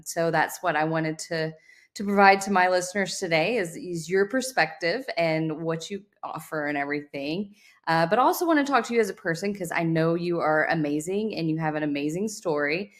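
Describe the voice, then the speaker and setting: A woman hosting a podcast, opening a casual interview in an enthusiastic way. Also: deep voice. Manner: enthusiastic, with many fillers and restarts. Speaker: podcast host.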